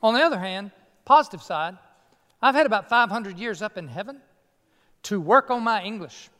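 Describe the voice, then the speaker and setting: Here is Martin Luther, voiced by a pastor, an earnest old monk speaking with a Southern US accent. The voice sits high and smooth, folksy and self-deprecating. It rings through a church sanctuary with a long reverb. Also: male voice, animated, normal speed, sharp tone. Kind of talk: costumed sermon monologue.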